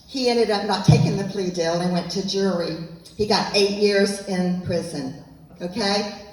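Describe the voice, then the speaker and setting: A woman, giving an earnest, emphatic talk to an audience as an author at a local event. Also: medium pitch. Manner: earnest, emphatic, repeats points. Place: event room, echoey. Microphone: handheld microphone, at times off mic. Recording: echoey room from far off, faint noise.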